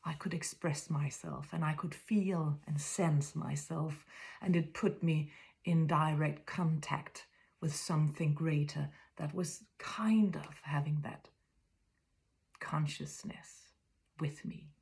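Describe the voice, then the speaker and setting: A woman in her fifties from Denmark with a Danish accent, speaking in a reflective spiritual monologue that is soft, often whispery, and halting as she searches for words. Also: low-pitched, steady tone, gravelly. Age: 50s.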